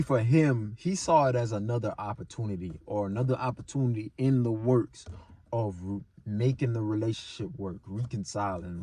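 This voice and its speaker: A man with a low, mellow voice talks casually to his viewers as he shares a story and advice. He takes his time, repeating points and tacking short questions onto them. The sound is close and dry. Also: dry recording. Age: adult, older than twenty-seven. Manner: punctuates points with rhetorical tag questions and repeats phrases. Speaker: video channel host giving relationship advice.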